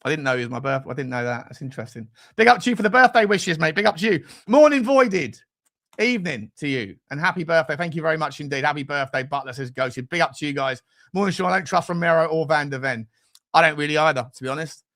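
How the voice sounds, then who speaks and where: High, bright-voiced British man, animated livestream host. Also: fast. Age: 40s. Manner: rambling, jokey, slangy, sweary. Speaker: host of a football fan livestream. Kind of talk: casual livestream chat, reading and answering comments.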